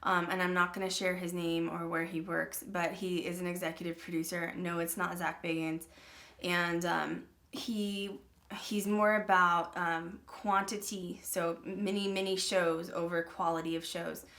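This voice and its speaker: A woman's voice: on the low side, flat delivery, a little slow, a bit bright. A young adult woman hosting a paranormal channel, talking casually to her viewers, chatty and full of "um" and "like". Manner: chatty, peppered with "um" and "like". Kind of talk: casual vlog talk to viewers.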